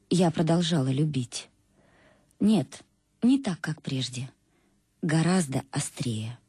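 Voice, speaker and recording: Radio play monologue: a disillusioned middle-aged wife, reflective and ironic, mellow husky tone with lively intonation, dry studio sound. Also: female voice, low-pitched, no room sound, exaggerated voice. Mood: bitter, aching. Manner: confessional, ironic, addressing an absent husband. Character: embittered, reflective wife recalling her unhappy marriage. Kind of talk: dramatic first-person monologue in a radio play.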